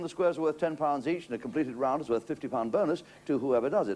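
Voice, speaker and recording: Joking game show host with lively pitch. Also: male voice. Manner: joking, teasing, punchline-driven. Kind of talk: quick-fire comic patter. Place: television studio. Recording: TV studio with loud audience. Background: audience laughter and studio noise.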